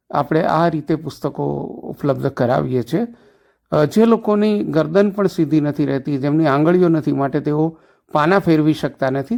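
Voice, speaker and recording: Guy, kinda high creaky voice, explaining stuff like a teacher in an interview. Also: darkish tone. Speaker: special educator, secretary of an association for the blind.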